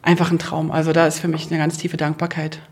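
A middle-aged woman from Berlin with a Berlin accent, chatting in a lively, talkative way about her home. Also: low voice, quick pace, slight background noise. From Berlin, Germany. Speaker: host to guests. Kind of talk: casual chat.

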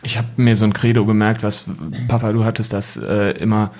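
A man's voice: fairly low pitch, level tone, fairly quick, a little mellow. A young man recalling family travels as a podcast guest in a casual, reflective way.

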